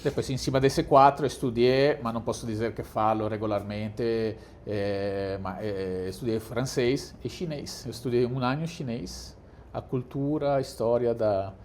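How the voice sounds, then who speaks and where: A man's voice: medium pitch, normal speed, darkish tone, a little hiss or hum. Italian man speaking hesitantly. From Italy. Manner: hesitant, many fillers and restarts. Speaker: guest, cruise executive. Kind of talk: casual interview talk.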